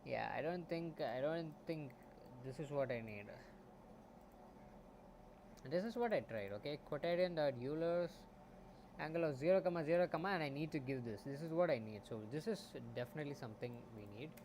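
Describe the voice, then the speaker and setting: A man streaming as a game developer, thinking aloud while he codes, often muttering and trailing off into soft asides, on a quiet home mic. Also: lively intonation, a little fast. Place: home room. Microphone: desktop mic. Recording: faint background noise, low home mic.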